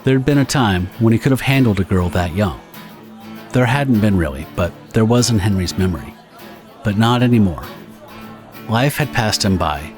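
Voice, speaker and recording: A man with a fairly deep voice reads scripted narration for a horror audio drama. He tells the pulp story as its narrator, with light plucked guitar music playing underneath. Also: lively intonation, normal speed.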